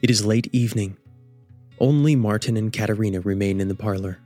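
Lowish-voiced male radio drama narrator. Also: a little fast, mellow, soft background music.

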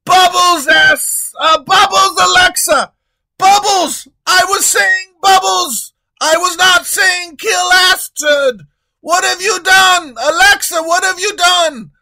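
Man playing a pompous lazy king, loud and bellowing, high pitched, bright. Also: lively intonation, slow, full character voice, poor audio. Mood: panicked shouting. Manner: bellowing, insulting, shrill when panicked. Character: pompous blustering male king, lazy and rude.

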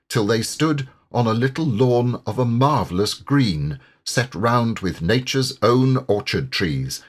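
A man with a lowish voice narrates literary fiction with dramatic feeling. His delivery is slow and measured, with lively rises and falls. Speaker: audiobook narrator, voice actor.

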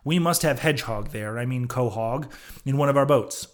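A man voicing a gruff, blustering old whaling captain in an audiobook, loud, hearty and commanding. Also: mid-range voice, moderate pace, natural voice. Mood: urgent, commanding. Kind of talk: audiobook character voice.

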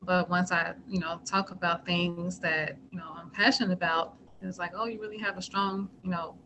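A woman guest on a podcast interview who has a naturally soft voice and speaks gently. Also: lowish voice, fairly even intonation, normal speed, background noise. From United States. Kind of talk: casual interview chat.

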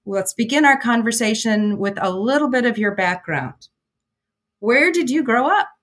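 A woman hosting an interview podcast, asking her guest clear, measured questions. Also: on the high side, lively intonation, fairly bright tone. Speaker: podcast host.

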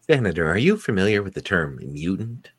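A giddy government scientist played by a man in a radio play. He is nervous and stammering, in a fairly low voice that rises and falls a lot. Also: sing-song, fairly bright tone. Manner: halting, stammering. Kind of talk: live radio theatre script reading.

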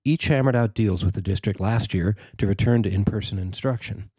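An American man narrates a written news story in a fairly low voice. The sound is very dry and close, with no room around it, and the delivery is that of a reporter reading copy. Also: a little fast, warm tone, breathy edge, dry recording.

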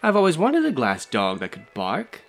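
A spoiled, flighty rich young lady, as a male storyteller puts her on gives orders in a raised, pitched-up voice. Her words are insistent and full of demands. Soft music sits behind the storybook reading. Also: wildly swooping pitch, normal speed, vocal fry. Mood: imperious.

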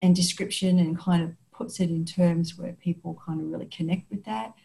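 A woman's voice: lowish voice, fairly even intonation, normal speed, gravelly, mellow. An Australian woman hosting a podcast, talking in a thoughtful, casual way and often restarting her sentences. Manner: thoughtful, restarts sentences, frequent affirming murmurs. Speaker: podcast host. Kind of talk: casual interview chat.

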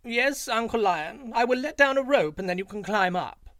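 A sly, fawning jackal from a folk tale, voiced by a man, who calls out in a high, wheedling tone full of false concern. His voice swoops and jumps all over the place. The recording is close and dry, with no room sound. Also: lively intonation, normal speed, fairly bright tone, no echo.

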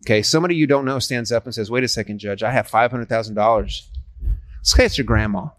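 A man's voice: medium pitch, quick pace, no echo. Earnest man in his forties, dry sound. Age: 40s. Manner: earnest and persuasive, frequent restarts. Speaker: street evangelist. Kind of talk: casual street conversation.

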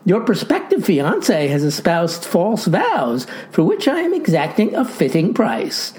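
A woman's voice: on the low side, wildly swooping pitch, unhurried, a little mellow. A woman voicing a stern, self-righteous male farmer who speaks in verbose, formal phrases in a comic story.

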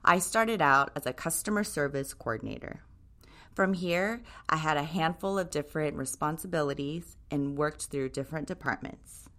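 A woman's voice: low-pitched, normal speed, pushed and bright. A woman in her thirties from Japan, hosting a podcast and reading a scripted monologue in an upbeat, enthusiastic way. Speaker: podcast host, brand co-creator.

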